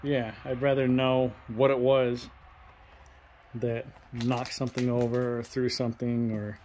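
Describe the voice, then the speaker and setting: A young man filming a ghost-hunting vlog in a dark abandoned farmhouse, talking to spirits and loudly challenging them. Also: mid-range voice, little pitch movement, measured pace, a little hiss or hum, rough recording. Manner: loud provoking challenges to the spirits. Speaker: paranormal investigator.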